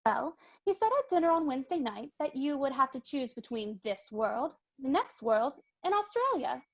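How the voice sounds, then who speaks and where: A woman's voice: high voice, big pitch swings, moderate pace. A woman playing a prim, innocent and frank young society girl in a dramatic reading of a stage comedy. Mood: primly teasing.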